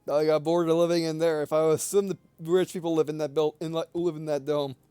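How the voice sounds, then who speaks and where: A man's voice: a little high, level tone, fairly quick, mellow, smooth, no room sound. A man giving casual, hesitant and rambling commentary as he plays a game. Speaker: gamer playing and commenting on a visual novel. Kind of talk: casual let's play commentary.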